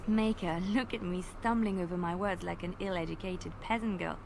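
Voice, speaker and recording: A woman playing a gentle, bashful bard adventurer in a fantasy video game, earnest, hesitant and playful. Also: on the low side, steady tone, a little fast. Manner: soft-hearted, hesitant, earnest. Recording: clearly noisy game playback.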